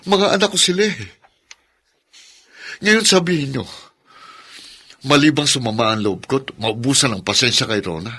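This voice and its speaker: A devoted Filipino father at the end of his patience in a radio drama. His voice is forceful and raspy, earnest and emotional. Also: mid-range voice, moderate pace, long pauses. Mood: weary, pleading. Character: frustrated young father, devoted, losing patience with his wife.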